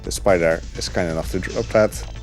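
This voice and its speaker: A man speedrunning a game live and talking through it in a relaxed, chatty way, with plenty of fillers. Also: low-pitched, moderate pace, faint music behind. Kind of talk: live gameplay commentary.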